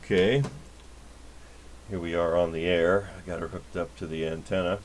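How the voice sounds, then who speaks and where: A man giving a casual, unscripted technical demonstration at a workbench, talking in a folksy, halting, rambling way. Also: deep voice, level tone, a little hiss or hum. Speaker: electronics repair technician and video host. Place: radio repair workbench.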